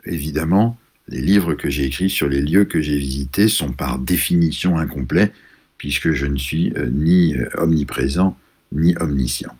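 A man from France, an author, telling a reflective personal story in a measured, pausing way, searching for his words. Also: rumbling low, darkish tone, husky.

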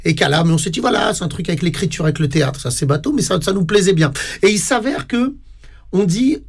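Guy on a radio show, kinda high voice, stumbles over words a lot, tons of euhs. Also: expressive, fast, creaky, husky. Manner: hesitant, many repeated words and fillers. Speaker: radio guest, theatre company director. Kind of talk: casual radio interview. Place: radio studio.

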